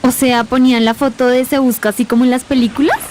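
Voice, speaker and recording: A woman's voice: sits high, fairly even intonation, fast, noisy background, lightly acted. A woman playing a blunt, curious young granddaughter who talks in city slang in an amateur radio drama. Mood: curious, baffled. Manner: slangy youth talk with English bits.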